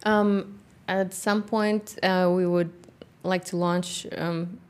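A middle-aged woman with a non-native English accent, a company founder in a casual interview, talking thoughtfully with many pauses. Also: on the low side, flat delivery, a little mellow, clean tone. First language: not English; grew up in Kazakhstan, speaks Russian. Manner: thoughtful, frequent "um" pauses, light laughter. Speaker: guest, founder of a workwear boot company.

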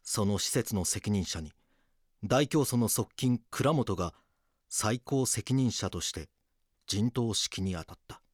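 Police detective, lowish voice, dry close studio sound, grave, weary, scripted drama narration. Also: male voice, animated, no room sound. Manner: serious, measured storytelling.

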